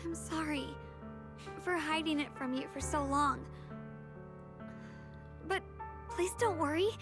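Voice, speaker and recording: Apologetic game girl, high pitch, soft youthful tone, halting delivery, music behind. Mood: sad, apologetic. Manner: hesitant, halting, earnest. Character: gentle, apologetic girl hiding a worsening secret. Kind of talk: anime video game voice acting.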